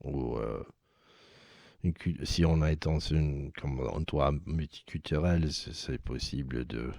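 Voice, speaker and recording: Very deep gravelly man on video chat. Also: a little slow, darkish tone, no room sound, studio quality. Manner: hesitant, many restarts and fillers. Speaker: language learner on video chat. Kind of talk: casual chat.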